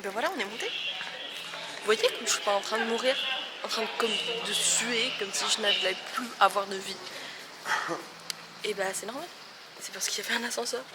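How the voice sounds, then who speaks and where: A woman's voice: mid-range voice, steady tone, moderate pace, pauses often, over loud music, poor audio. A young woman from Belgium chatting casually to camera in a travel vlog, with chatty, self-mocking asides. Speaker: vlogger.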